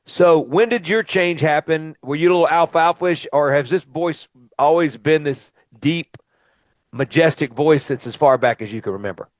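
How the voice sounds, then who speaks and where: A man's voice: on the high side, moderate pace, no room sound. A middle-aged man from Mississippi, hosting a casual radio interview and chatting eagerly with plenty of "uh" fillers. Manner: enthusiastic, chatty, frequent "uh" fillers. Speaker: radio show host and singer-songwriter.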